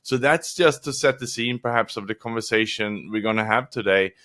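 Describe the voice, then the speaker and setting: A man's voice: lowish voice, fairly bright tone. Reflective Swedish-born male guest. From Sweden. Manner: reflective, measured storytelling. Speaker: guest author. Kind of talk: interview answers.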